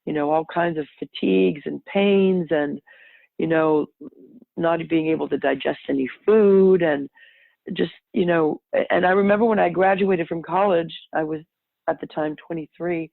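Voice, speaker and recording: A woman's voice: low voice, normal speed, gravelly, no room sound. A middle-aged woman whose first language is French tells her own story as a podcast guest in a reflective, rambling way, often correcting herself mid-sentence. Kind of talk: casual personal storytelling. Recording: tinny phone-line sound, dry.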